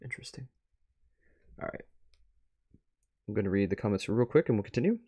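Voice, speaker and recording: A man live-streaming a reaction to a web series, chatty and excitable, thinking aloud as he watches. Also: fairly low pitch, fairly quick, breathy edge.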